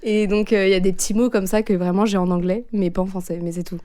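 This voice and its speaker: A woman's voice: mid-range voice, steady tone, fast, dark tone. A young woman from France, a podcast guest, chatting casually and animatedly about coming home from abroad. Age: young adult. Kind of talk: casual chat.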